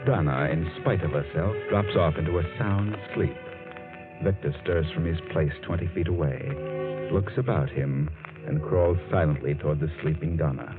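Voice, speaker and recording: An actor narrating a 1940s radio mystery as an ominous storyteller, in dramatic scripted style. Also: male voice, extremely low pitch, normal speed, pauses often. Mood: ominous, suspenseful. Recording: tinny, band-limited 1940s radio recording. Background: loud dramatic music.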